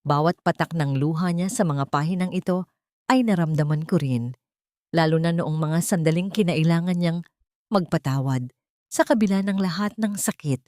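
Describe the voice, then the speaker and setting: A woman narrating a dramatic story in an earnest, sentimental, measured way. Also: lowish voice, darkish tone, no room sound, clean studio sound.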